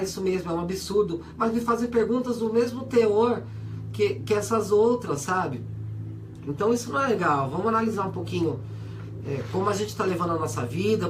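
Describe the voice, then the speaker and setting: Hoarse Brazilian man with a cold. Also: sits high, background noise. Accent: Brazilian Portuguese. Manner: chatty, many fillers and repetitions, earnest. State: hoarse, stuffy nose from a cold. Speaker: host of a spiritual advice show. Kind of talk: casual spoken talk to viewers and listeners. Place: home studio or room for a web radio and video show.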